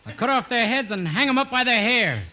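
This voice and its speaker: A man's voice: extremely high pitch, lively intonation, fairly quick, sharp tone, exaggerated voice. A man playing a boastful, threatening Yukon gunslinger, a theatrical comic villain in 1950s radio comedy. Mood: menacing. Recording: very noisy old 1950s radio recording.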